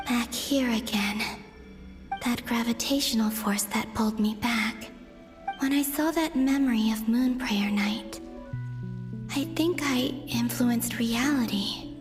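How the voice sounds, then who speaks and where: A woman playing a soft, breathy, wistful, ethereal maiden in a fantasy video game. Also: a little high, slow pace, long pauses. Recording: long cavernous reverb under music.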